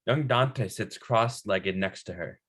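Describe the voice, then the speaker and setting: Bright, lowish-voiced man reading stage directions. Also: slow. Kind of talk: script narration at a table read.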